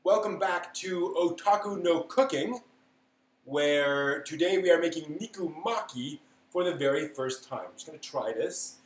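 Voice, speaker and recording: A man's voice: a little high, lively intonation, a little slow. A man hosting a casual, unscripted cooking live stream, thinking aloud in a hesitant way and trailing off as he works. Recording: roomy echo, background noise.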